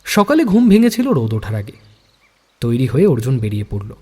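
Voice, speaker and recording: A man narrates a story dramatically in a husky, fairly high voice with lively swings of pitch. A long reverb hangs around his words. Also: brisk.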